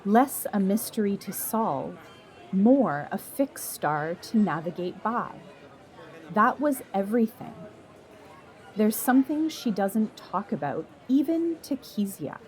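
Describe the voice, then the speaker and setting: A woman reading a scripted literary story as narrator, in a measured, reflective manner. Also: medium pitch, expressive, darkish tone, some chatter behind.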